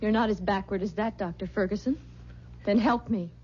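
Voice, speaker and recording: An actress playing a haunted, defiant young pregnant wife in an intense, melodramatic old-time radio drama style. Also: female voice, medium pitch, moderate pace, warm tone, husky, lightly acted. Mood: tense, urgent. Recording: muffled, very noisy old radio recording.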